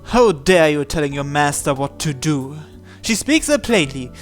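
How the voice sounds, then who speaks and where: Higher-voiced man narrating a visual novel. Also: lively intonation, moderate pace, soft background music. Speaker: channel host reading visual novel text aloud. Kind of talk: dramatic first-person scripted narration.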